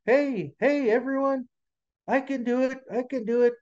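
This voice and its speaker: Man putting on a tiny, high-voiced eager muskrat. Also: fairly even intonation, moderate pace, darkish tone, lo-fi sound. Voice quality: small, thin voice.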